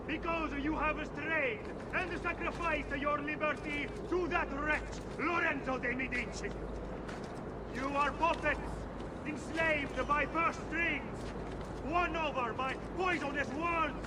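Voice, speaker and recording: An actor playing a fanatical, grave preacher, declaiming solemnly like a fire-and-brimstone sermon in a video game. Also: male voice, very high-pitched, steady tone, heavy background noise. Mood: solemn, accusing. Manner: declamatory, solemn, drawn-out delivery. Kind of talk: theatrical sermon oratory.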